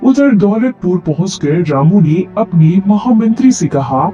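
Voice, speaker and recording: A man's voice: sits high, animated, a little slow, dark tone. A man reading scripted narration for a moral story. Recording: dull, band-limited audio. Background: faint music.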